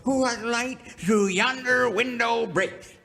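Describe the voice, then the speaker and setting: A man's voice: very high-pitched, takes it slowly, vocal fry, heavily acted. A man playing an old cowboy sidekick who recites Shakespeare in an overblown, declamatory way with a rural Western American accent, in a comic radio sketch. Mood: bombastic. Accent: rural American West. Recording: noisy, long hall reverb.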